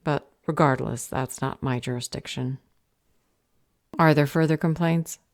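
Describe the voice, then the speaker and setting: A woman playing a stern, bored council official in a fantasy audio drama, deadpan, clipped and sarcastic. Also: low voice, a little slow, no echo, studio quality. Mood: brisk questioning.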